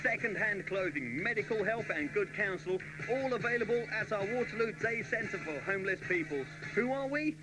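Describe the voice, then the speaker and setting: High-voiced British man over music. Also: lively intonation, normal speed, hardly any pauses, rough recording. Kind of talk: scripted radio charity ad. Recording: radio ad with music bed.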